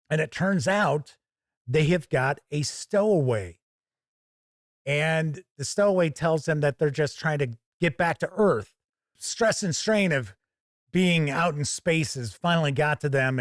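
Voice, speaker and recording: A man giving a casual, unscripted review, explaining things step by step with deliberate phrasing. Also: on the high side, dry recording, clean studio sound. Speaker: tabletop game reviewer.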